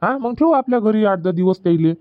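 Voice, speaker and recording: Guy voicing a laid-back young husband in a cartoon, varhadi accent, bit high voice, dry dull sound. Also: animated, fast, no echo. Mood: planning. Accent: Varhadi Marathi. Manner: easygoing, explaining at length. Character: young husband Suraj, easygoing son. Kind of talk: cartoon comedy dubbing.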